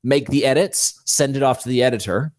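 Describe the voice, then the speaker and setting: A man's voice: mid-range voice, brisk, darkish tone, no echo. A man speaking as a guest author in a conversational interview, explaining things earnestly.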